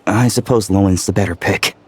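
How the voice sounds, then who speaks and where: A man's voice: lowish voice, brisk, a little mellow, slight background noise, close to an everyday voice. An actor playing a shy, polite young male trainee medic who hesitates and stammers, in video game voice acting.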